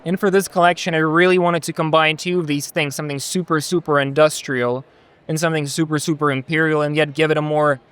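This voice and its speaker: Young male design student, slightly high, clean voice, emphatic. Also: level tone, quick pace, a bit bright, clean tone. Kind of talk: explaining own work in an interview.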